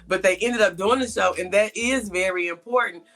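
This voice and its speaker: A woman's voice: medium pitch, brisk, fairly bright tone. A woman hosting a conversational interview, speaking emphatically and repeating key points for stress. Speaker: podcast host and interviewer.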